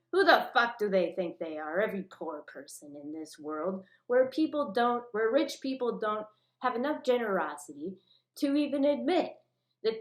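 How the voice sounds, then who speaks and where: A woman's voice: fairly low pitch, echoey room. A woman delivering a rambling, profane rant that jumps from topic to topic in an agitated manner.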